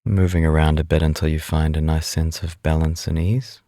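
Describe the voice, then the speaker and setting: A man leading a guided meditation as an instructor, speaking in a calm, unhurried, instructive way. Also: very deep voice, no echo, studio quality.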